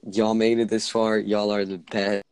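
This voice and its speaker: A man's voice: lowish voice, flat delivery, normal speed, darkish tone, clear, resonant tone, no room sound. A teenage boy hosting a casual podcast with friends over voice chat, often stumbling over his words and restarting. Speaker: podcast host.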